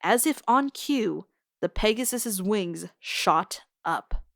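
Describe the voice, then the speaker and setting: A woman narrating a scripted story in an expressive storytelling style. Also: mid-range voice, slow pace, gravelly, very noisy. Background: music.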